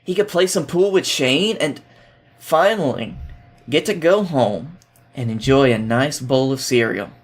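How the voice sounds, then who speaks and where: A man's voice: mid-range voice, animated, a little slow. A man as a podcast guest, reading a story aloud and chatting casually. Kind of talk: fan fiction read aloud and casual podcast chat.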